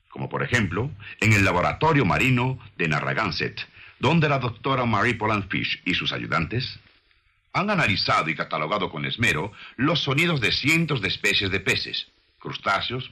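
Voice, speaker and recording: A man reading scripted documentary narration with measured, dramatic storytelling delivery, on an old film soundtrack. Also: lowish voice, sing-song, pushed and bright, slightly rough.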